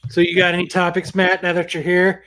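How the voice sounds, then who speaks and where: Wry podcast host dude, jokey, casual chat. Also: male voice, sits high, monotone, brisk, fairly bright tone, a little hiss or hum. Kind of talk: casual banter.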